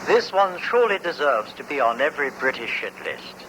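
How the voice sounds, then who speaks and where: A male music announcer reads a scripted introduction with wide swings in intonation. The old radio broadcast is clearly noisy. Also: mid-range voice, very animated, brisk.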